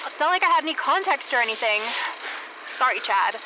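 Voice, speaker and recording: A woman's voice: high-pitched, big pitch swings, fairly quick. A woman playing a peevish, flippant radio station assistant in a comedy audio drama. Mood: peeved. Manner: sulky, flippant. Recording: dull sound, loud background noise.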